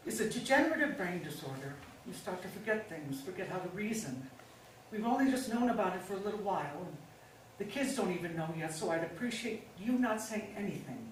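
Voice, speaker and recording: A woman's voice: medium pitch, lively intonation, fairly quick, lightly acted. A woman playing a manipulative middle-aged pharmacist's wife in a stage play reading, coaxing and insistent with false sweetness. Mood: solemn, controlling. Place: indoor staged reading, mic far off. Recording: very echoey room, distant mic, faint noise.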